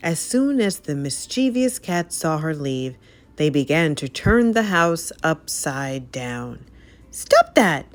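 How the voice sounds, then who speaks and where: A woman reading a children's story aloud as narrator, with an expressive, dramatic delivery. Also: deep voice, slow.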